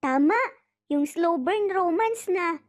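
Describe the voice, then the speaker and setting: Woman voicing cheeky, very high-pitched young boy. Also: animated, takes it slowly, no room sound, exaggerated voice. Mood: teasing. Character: cheeky young triplet boys teasing their parents. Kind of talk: dramatized storytelling.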